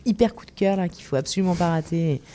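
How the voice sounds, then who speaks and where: A woman's voice: fairly low pitch, fast, dark tone. A woman co-hosting an interview, asking questions in a chatty, reflective way. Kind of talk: casual conversation.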